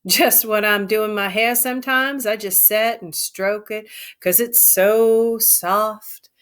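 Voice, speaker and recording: A woman's voice: medium pitch, fairly even intonation, slow, fairly bright tone. A woman doing a vain, coy young ranch wife. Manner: coy, complacent drawl.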